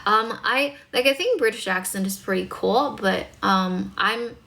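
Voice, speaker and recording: A woman's voice: medium pitch, a little slow, creaky, bright, forceful tone. Young adult woman, North American accent, first language Chinese. Easygoing, encouraging livestream tutor. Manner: chatty, encouraging, filler-heavy. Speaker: English speaking tutor. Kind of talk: casual livestream Q&A.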